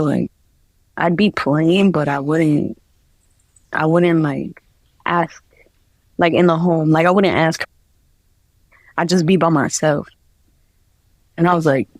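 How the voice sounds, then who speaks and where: A woman's voice: deep voice, measured pace, pauses often, no room sound. Rambling young woman from New Jersey. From New Jersey, USA. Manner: rambling, lots of "like" fillers. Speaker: guest artist. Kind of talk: casual interview talk.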